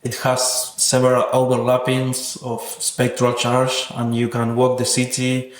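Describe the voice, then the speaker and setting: A man's voice: medium pitch, monotone, a little slow. A man speaking reflectively and earnestly as an interviewed artist, with hesitant, non-native phrasing. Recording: roomy, echoing sound.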